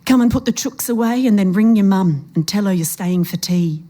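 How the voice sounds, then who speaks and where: A woman reading the part of an uncanny old grandmother in a story, soft-spoken, pleasant and quietly menacing. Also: medium pitch, moderate pace, natural voice. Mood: homely, brisk.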